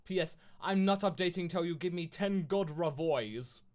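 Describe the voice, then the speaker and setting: A man voicing a melodramatic, crude teenage goth girl in a shrill, overwrought way. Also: moderate pace, natural voice. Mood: gushing. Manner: shrill, breathless, overwrought delivery.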